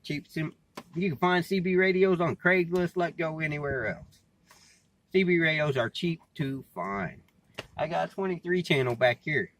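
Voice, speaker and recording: Male American vlogger, slightly high-pitched, folksy and plain-spoken, rambling casually with repeated phrases over clearly audible noise. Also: animated, moderate pace, fairly bright tone. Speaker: vlogger and CB radio hobbyist. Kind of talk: casual rambling talk to camera. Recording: clearly noisy background, amateur recording.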